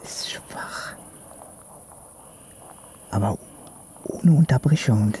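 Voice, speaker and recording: A man's voice: on the low side, lively intonation, very slow, dark tone, in a whisper. An actor playing a curt, bossy man who searches for water leaks, in a naturalistic radio play. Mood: commanding. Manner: curt, ordering, sure of himself. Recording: clear outdoor noise behind the voice.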